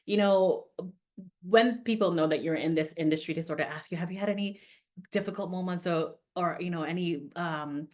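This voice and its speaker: A woman's voice: lowish voice, moderate pace, darkish tone. A woman from Trinidad, a makeup artist guest, talking earnestly and telling a story. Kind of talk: interview conversation.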